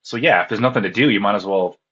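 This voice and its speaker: A man with a husky voice, speaking casually as an interview guest and recounting his experiences. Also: lowish voice, fast, a bit bright, dull audio, slight background noise. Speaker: interview guest, ham radio contest operator.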